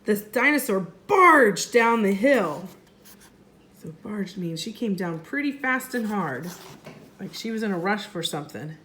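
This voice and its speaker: A woman, a first grade teacher, reading a storybook aloud expressively and deliberately for young children. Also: medium pitch, expressive, fairly bright tone, slight background noise.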